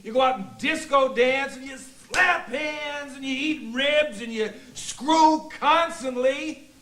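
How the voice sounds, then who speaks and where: A man's voice: sits high, fairly even intonation, normal speed, pushed and bright. An actor playing a bitter, exasperated middle-aged husband who complains about his life in a comedy film. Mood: ranting.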